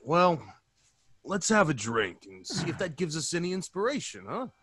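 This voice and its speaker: A breezy drinking pal from an old radio play. His voice is husky and a touch high, with lively, easygoing swings of inflection. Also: normal speed, dry recording, full character voice. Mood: breezy, casual. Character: easygoing male drinking buddy of the writer. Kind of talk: old time radio drama acting.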